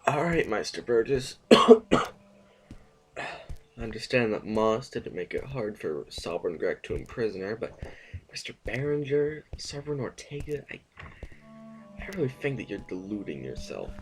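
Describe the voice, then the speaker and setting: A man playing a nonchalant, quietly defiant sovereign in an audio drama, with dry, unhurried phrasing. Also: on the low side, big pitch swings, slight background noise.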